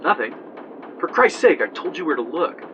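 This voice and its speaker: An actor playing an irritable, defensive twenty-year-old small-town gas station attendant in an audio drama, with a curt, testy delivery. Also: male voice, on the high side, very animated, normal speed, a bit bright. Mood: testy, agitated. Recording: muffled, noisy interview tape.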